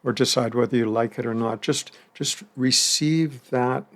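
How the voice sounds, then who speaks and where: A man leading a guided meditation, speaking slowly and gently with long pauses and the odd soft laugh. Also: mid-range voice, fairly even intonation. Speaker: meditation teacher.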